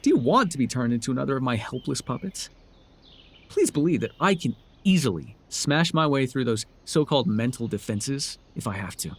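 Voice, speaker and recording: A man's voice: medium pitch, very animated, a little fast, husky. A man playing a sardonic, telepathic supervillain in a dramatic audio roleplay, with a theatrical, taunting way of talking. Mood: scornful, probing. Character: sardonic male telepathic supervillain, menacing yet curious. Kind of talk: dramatic ASMR roleplay acting.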